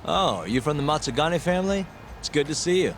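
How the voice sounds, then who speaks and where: An actor with an American accent playing a cool, nonchalant detective with a dry, casual delivery in a video game. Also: male voice, fairly high pitch, very animated, fast talker, a little hiss or hum.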